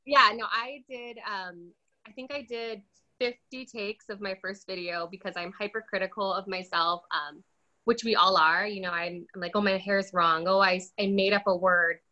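A woman in her thirties chatting on a video call from a bright room at home, self-deprecating and quick to laugh. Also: mid-range voice, fairly even intonation. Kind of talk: casual video call chat. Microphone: laptop webcam on video call. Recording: dull lo-fi call audio.

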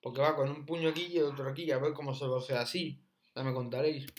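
A young man hosting a reaction video, mocking with loud repeated exclamations as he comments on clips from his room. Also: mid-range voice, fairly even intonation, quick pace.

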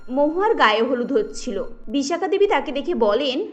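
A woman's voice: high-pitched, big pitch swings, moderate pace, highs cut off, soft background music. A woman narrating a scripted cartoon family story.

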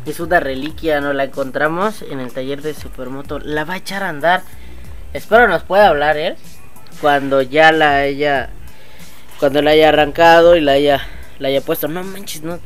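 A man's voice: a little high, fairly even intonation, moderate pace, soft background music. A man in his thirties with a Mexican accent, a motovlog host chatting casually and talking straight to his viewers in an outdoor workshop yard. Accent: Mexican Spanish. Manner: chatty, slangy, addresses viewers directly. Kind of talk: casual vlog chat.

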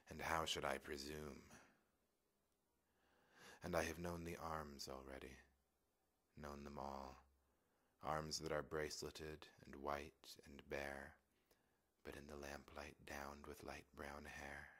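Very deep-voiced man reciting poetry. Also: a bit bright. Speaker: poetry reader.

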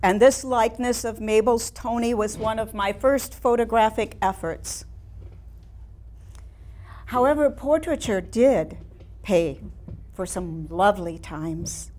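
A woman in her sixties with an American accent performing a staged monologue as a wistful, reflective older painter looking back in measured, nostalgic phrases. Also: fairly high pitch, a little mellow. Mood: wistful reminiscing. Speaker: playwright performing a staged reading. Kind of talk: theatrical monologue reading. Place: small studio room with seated audience.

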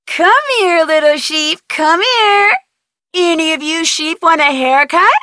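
A woman's voice: very high-pitched, a little slow, sharp tone, no echo, studio quality, heavily acted. A woman playing a plucky, polite young amateur detective in a video game, earnest and thinking aloud. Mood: coaxing. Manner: earnest, crisply phrased, self-narrating.

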